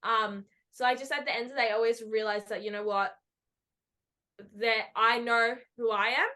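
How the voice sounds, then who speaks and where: A woman's voice: a little high, steady tone, moderate pace, pushed and bright. A young woman co-hosting a podcast, chatting eagerly and rambling with many fillers over a video call. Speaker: podcast co-host and actor. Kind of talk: casual chat.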